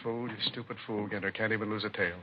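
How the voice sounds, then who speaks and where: An American actor playing a domineering, suspicious drug dealer in a 1950s radio drama, speaking in a formal, clipped, scolding manner. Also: male voice, fairly low pitch, flat delivery, quick pace, lightly acted. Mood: bitter, scolding. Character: domineering, suspicious male drug dealer, cold crime boss. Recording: muffled, hissy 1950s radio recording with clicks.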